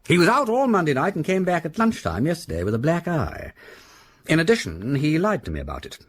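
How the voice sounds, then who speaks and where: A breezy upper-class English gentleman sleuth, swooping voice. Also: on the high side, huge pitch swings, brisk. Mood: thoughtful, intent. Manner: breezy, rambling, repeats phrases for emphasis. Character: jovial, chatty aristocratic English gentleman detective, deceptively silly. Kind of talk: radio drama acting.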